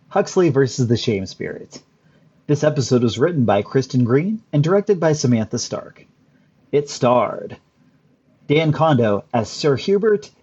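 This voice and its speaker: A male announcer reads out show credits in a scripted style. His mid-pitched voice is husky, and the recording is a little muffled. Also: darkish tone.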